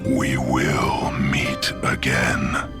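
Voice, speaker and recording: An actor playing an enigmatic, menacing gunslinger in an audio drama, speaking solemnly and portentously. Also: male voice, very deep voice, monotone, heavily acted. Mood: cryptic, solemn. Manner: slow, portentous delivery. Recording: music playing behind the voice.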